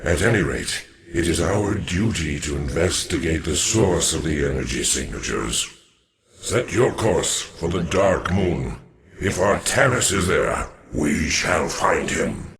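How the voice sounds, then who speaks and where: A man playing a grave, weary old alien warrior in a video game, with a deep gravelly voice and a solemn, formal manner. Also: expressive, unhurried, a crowd in the background, heavily acted. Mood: grave, resolute.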